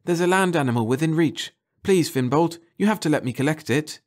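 A man's voice: on the high side, animated, fairly quick, pitched above the performer's own voice, natural voice. A man voicing an eager, earnest female scientist, an aquatic creature, in an audiobook. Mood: urgent, pleading. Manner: earnest, wonder-struck, pleading. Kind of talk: audiobook character voice.

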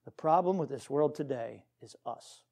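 A man's voice: medium pitch, normal speed, vocal fry, a little mellow. A man preaching as a pastor, earnest and exhorting, reading scripture and putting questions to his listeners. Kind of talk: Baptist sermon with scripture reading.

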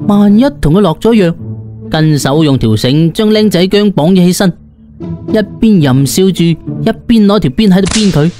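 A man's voice: fairly high pitch, darkish tone. A man with a Cantonese accent narrating a comic first-person audiobook in a colloquial, theatrical way.

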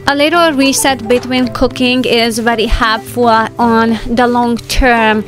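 A woman in her thirties narrating a homemaking vlog in a relaxed, chatty voice-over with fillers and light laughs. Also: a little high, unhurried, faint music behind. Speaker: homemaking vlogger.

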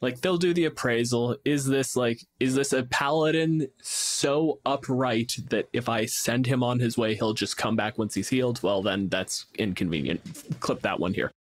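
Male podcast co-host, mid-range voice, talking through game ideas casually with quick acted-out examples. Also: mid-range voice. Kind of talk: casual tabletop gaming discussion.

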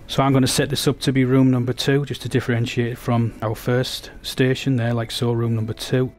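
A man's voice: medium pitch, little pitch movement, brisk, faint music behind. An English man giving a technical product demonstration, explaining steadily with frequent restarts.

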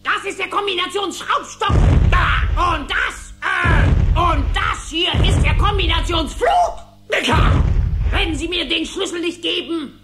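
A woman's voice: extremely high pitch, a little slow, loud music behind the voice. A woman voicing a scheming, gloating cartoon duck witch in a theatrical way. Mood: straining, scheming.

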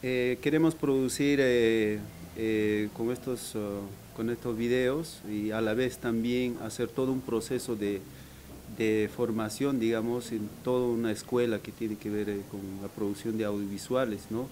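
A man from Peru, a workshop participant, speaking haltingly with many fillers in an interview. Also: mid-range voice, fairly even intonation, darkish tone, slight background noise. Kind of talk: hesitant interview talk.

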